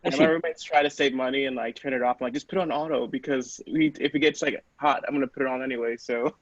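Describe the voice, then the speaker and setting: A man's voice: medium pitch, fairly even intonation, fast, no echo, dull audio. A young American man chatting casually in a rambling, informal way. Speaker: podcast cast member. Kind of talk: casual chat.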